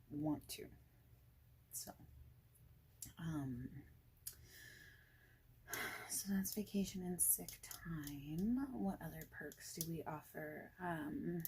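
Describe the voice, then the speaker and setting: Casting: woman for an informal boss in ASMR roleplay; lowish, dark-toned soft voice, near whisper, rambling and relaxed. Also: slight background noise. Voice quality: soft, breathy, often dropping to a near whisper. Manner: casual, hesitant, rambling with many pauses and "like". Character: friendly informal female office boss. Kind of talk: soft-spoken ASMR roleplay, informal job interview chat.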